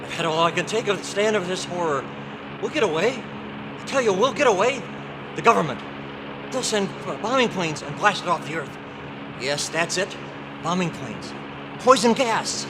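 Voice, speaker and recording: Man acting a high-voiced, panicking pilot in denial. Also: animated, moderate pace, pauses often, noisy background. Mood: frantic denial. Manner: frantic, pleading, melodramatic. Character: panicking, desperate male pilot in denial of doom. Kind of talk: old-time radio horror acting. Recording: live convention stage recording.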